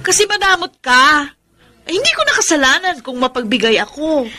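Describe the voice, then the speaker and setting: A woman's voice: sits high, sing-song, moderate pace, bright, forceful tone, heavily acted. A woman playing a brazen, unrepentant young mistress with a taunting manner and a Filipino accent in a radio drama. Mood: mocking, smug. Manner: taunting, flippant.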